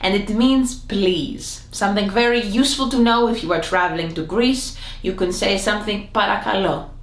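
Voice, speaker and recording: A woman teaching a comedic language lesson to camera, playful and theatrical, her voice jumping up in pitch now and then. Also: moderate pace. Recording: echoey room.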